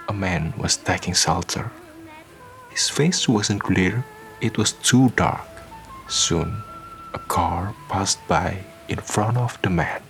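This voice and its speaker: Radio drama narrator, male: low, creaky voice, measured scripted storytelling over faint music.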